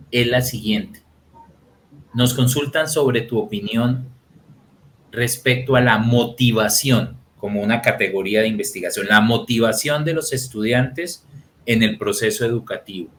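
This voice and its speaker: A man moderating a conference session, reading audience questions to the speaker in a formal, measured way. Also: mid-range voice, a bit bright, a little hiss or hum.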